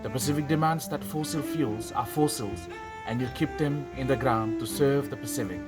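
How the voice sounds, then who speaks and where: A young man from Vanuatu speaks in an even middle register, pressing each demand emphatically. Music runs under his voice. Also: mid-range voice, normal speed. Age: young adult. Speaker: climate activist.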